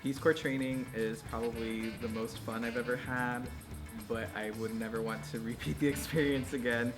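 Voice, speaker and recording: A young man with an American accent gives a casual testimonial as a volunteer. His voice is middle-pitched, with music playing clearly behind it. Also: fairly even intonation, normal speed. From Arizona, United States. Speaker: Peace Corps volunteer.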